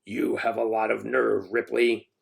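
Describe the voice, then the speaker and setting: A man's voice: lowish voice, little pitch movement, measured pace, gravelly, pitched below the performer's own voice. A man voicing a furious, pompous school principal who snaps indignantly in a children's storybook reading. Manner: indignant, snapping, emphatic outbursts. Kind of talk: children's storybook read-aloud.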